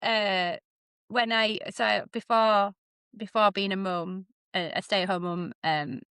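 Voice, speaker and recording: A woman's voice: medium pitch, steady tone, slow, fairly bright tone, smooth, no echo. A woman in her thirties with a strong Yorkshire accent, co-hosting a casual podcast chat, laughing often and trailing off mid-sentence. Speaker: podcast co-host. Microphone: remote recording on an iPad.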